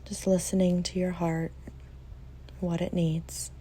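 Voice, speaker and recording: Slow, mellow woman guiding meditation. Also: lowish voice, flat delivery, slight background noise. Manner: soft, soothing, with long pauses. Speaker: meditation guide. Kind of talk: guided meditation.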